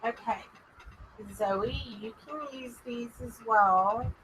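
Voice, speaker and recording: A woman with a strong Texas drawl, talking slowly and loosely in a clear, bright, slightly raised voice. She rambles in a friendly, chatty way and speaks straight to her viewers as she hosts a psychic livestream. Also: a little high, a little hiss or hum, low-quality recording. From Texas, USA. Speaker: psychic medium livestream host. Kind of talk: casual livestream chat with viewers.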